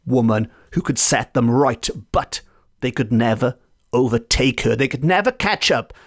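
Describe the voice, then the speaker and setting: Lively man telling folklore. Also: medium pitch, normal speed. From Wales. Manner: lively, playful storyteller who stretches out key words. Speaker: podcast host. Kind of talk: folklore storytelling podcast. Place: home in a residential area.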